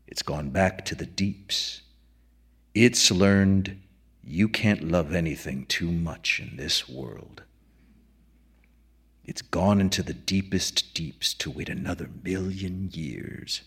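A man playing a weary, mournful man who speaks in a slow, heavy, pitying way. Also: deep voice, fairly bright tone, breathy edge, pitched below the performer's own voice, exaggerated voice. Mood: sorrowful. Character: MacDunn, weary brooding man pitying a lonely monster.

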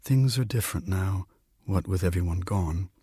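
A man voicing a friendly, wistful old lady in a story reading, with a gentle, chatty manner. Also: deep voice, normal speed, husky, no room sound, studio quality. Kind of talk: literary story reading with character dialogue.

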